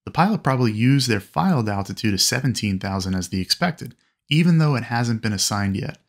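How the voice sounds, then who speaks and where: A man narrating instruction in a clear, explanatory way. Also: medium pitch, animated, brisk.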